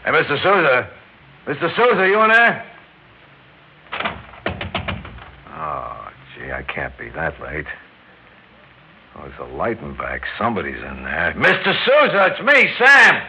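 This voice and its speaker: A muffled, noisy old radio drama recording carries a hard-luck American husband. He has a low voice that swings widely as he grumbles in blurted, exasperated, everyday speech. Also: wildly swooping pitch, moderate pace. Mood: anxious, frustrated. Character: hard-luck working man, grumbling, hot-headed but loving husband.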